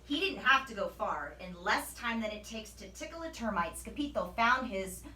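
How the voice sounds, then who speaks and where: Bright-voiced woman reading a story in an echoey room. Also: medium pitch, expressive, normal speed, a little hiss or hum. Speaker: teacher reading aloud. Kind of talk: expressive children's story narration. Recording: echoey room, distant microphone.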